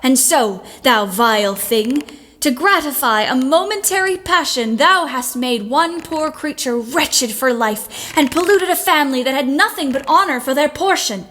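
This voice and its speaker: A woman's voice: sits high, lively intonation, measured pace, a bit bright, slight background noise. A woman playing a bookish, outspoken fifteen-year-old girl in a period audio play, frank and literate. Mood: dramatic reading.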